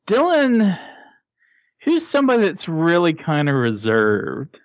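Young guy podcast host, lively, giggly, slightly high voice, dead dry sound. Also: slow pace, no echo. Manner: laughing, animated. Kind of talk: casual chat.

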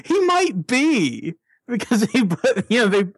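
A man's voice: very high-pitched, slow, slightly rough, no echo, clean studio sound. A young man hosting a casual podcast chat, laughing often as he talks. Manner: laughing, animated. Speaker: podcast host.

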